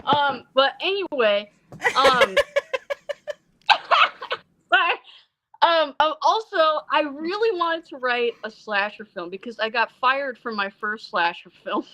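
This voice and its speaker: A woman from Iceland talking casually as an interview guest about her film projects, rambling and animated, acting out quotes as she goes. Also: sits high, takes it slowly, long pauses. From born in Iceland, Icelandic mother and American father. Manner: animated, rambling storyteller who acts out quotes. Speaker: interview guest, independent filmmaker and actress.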